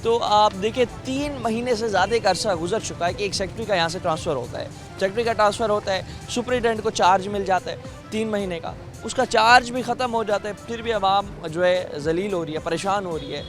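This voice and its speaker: A man reporting the news and then interviewing a guest as anchor, earnest and drawn-out, pausing often on fillers. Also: sits high, music in the background. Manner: earnest, drawn-out delivery with many filler pauses.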